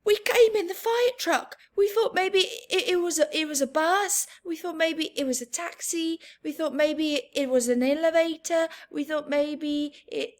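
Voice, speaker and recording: A woman's voice: high-pitched, moderate pace, hardly any pauses, pitched above the performer's own voice, natural voice. A woman voicing a bold, adventurous little girl in a children's story, eager and exclaiming. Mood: excusing.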